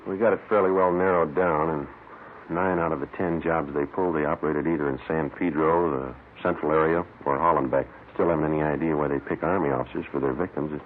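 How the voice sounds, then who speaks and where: American police sergeant, bottom-register voice, clipped and businesslike, vintage radio drama with a tinny, narrow sound. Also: male voice, a bass voice, fairly quick. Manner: clipped, flat, matter-of-fact. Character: male police detective sergeant, terse, dry, businesslike. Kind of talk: 1950s radio crime drama acting and narration. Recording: noisy, thin old radio recording.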